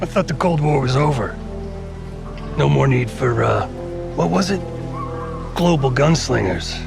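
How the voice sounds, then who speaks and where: Gruff old soldier, gravelly, very animated pitch, blunt, game cutscene, echoey, loud music. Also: male voice, measured pace. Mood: sardonic. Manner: blunt, forceful, profane.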